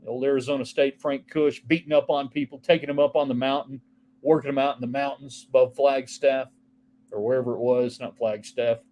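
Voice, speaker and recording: A man hosting a casual solo sports talk show, rambling from topic to topic and answering viewer texts. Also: medium pitch. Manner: rambling, offhand, jumps between topics.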